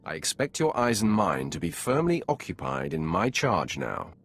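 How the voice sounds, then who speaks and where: Commanding game villain lord, deep, rough-edged husk, imperious, cold, terse. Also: male voice, wildly swooping pitch, moderate pace, fairly bright tone, heavily acted. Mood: stern, imperious. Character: commanding, stern male Sith lord. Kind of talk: video game character acting.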